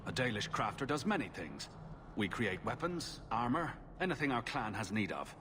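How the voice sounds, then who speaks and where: A man's voice: medium pitch, big pitch swings, moderate pace, noisy background, lightly acted. An actor playing a proud, guarded elven craftsman in a fantasy video game, speaking formally.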